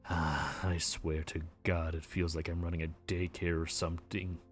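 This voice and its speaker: A man's voice: sits low, flat delivery, normal speed, soft background music, lightly acted. A man voicing a weary male grim reaper who runs the underworld queue, a sarcastic and exasperated bureaucrat. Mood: stern. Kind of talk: audio roleplay.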